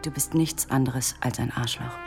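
An actress playing a sharp, proud young woman working for the police in a radio drama, curt and confrontational. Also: rumbling low, fairly even intonation, moderate pace, loud music behind the voice. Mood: bitter, angry. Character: sharp, proud young female police employee, easily angered.

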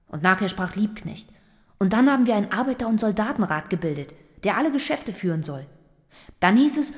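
Actress as Minna, eager working-class woman, mid-pitched. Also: a little mellow, in a large hall, natural voice. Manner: colloquial, eager, emphatic. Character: Minna, fervent working-class socialist factory daughter. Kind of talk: German radio play acting.

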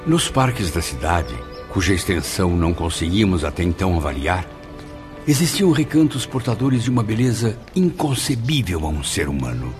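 A remorseful, reflective spirit telling his own story in a radio drama. His voice is very deep with a lively, expressive pitch, over clear background music. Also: moderate pace. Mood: solemn. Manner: reflective, solemn narration. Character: remorseful man, former suicide, recovering spirit. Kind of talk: radio drama acting and first-person narration.

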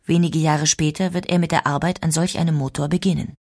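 A woman's voice: low-pitched, fairly even intonation, a little fast, darkish tone. A woman narrating a scripted documentary.

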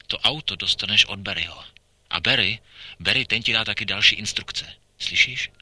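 Radio drama: terse underworld caller, low, husky and hard-edged, very dry close sound. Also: male voice, a little fast, pushed and bright, no echo. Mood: terse, threatening. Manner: terse, conspiratorial. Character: curt shady male gangster caller giving covert instructions. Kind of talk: radio drama acting, answering machine message.

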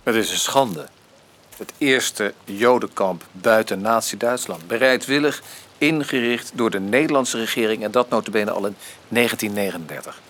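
An actor playing an opinionated, jesting politician with a declamatory, mock-solemn manner in a Dutch radio drama. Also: male voice, fairly low pitch, normal speed, a bit bright, close to an everyday voice. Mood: indignant. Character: Onno, opinionated, pompous, jesting social-democrat politician and husband.

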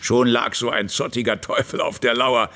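An actor playing a gruff, blunt and contemptuous Russian army veteran of about fifty in a radio drama. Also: male voice, mid-range voice, steady tone, moderate pace, pushed and bright, slight background noise. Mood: grumbling, reminiscing.

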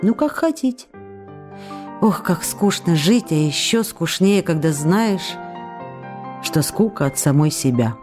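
An actress playing an affectionate, teasing, wistful woman of the theatre reading her letters aloud in an intimate, expressive radio drama style. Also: on the low side, slow, long pauses. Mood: weary, wistful. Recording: music behind the voice.

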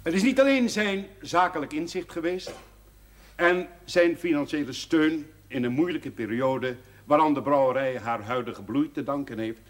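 An actor playing a proud older brewery director, giving a formal, solemn jubilee speech with emphatic swells in a period television drama. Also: male voice, a little high, normal speed, lightly acted.

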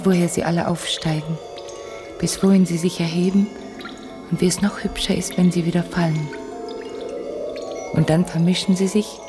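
An actress playing an enigmatic, fearful, visionary woman in a literary radio drama, dreamy and halting, full of questions. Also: fairly low pitch, close to an everyday voice.

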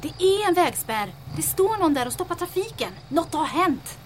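Kvinna som spelar en mycket ljus, ivrig ung kvinna. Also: female voice, very high voice, very animated, slight background noise. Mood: watchful. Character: spirited, practical young woman, sergeant's bickering companion. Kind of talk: audio drama acting.

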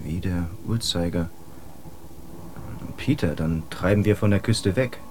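A man's voice: low voice, a little slow, noisy background. A man playing a worried, sober young sailor, grave and emphatic, in a radio drama. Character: worried, sober young English sailor warning his friend. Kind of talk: German radio drama acting.

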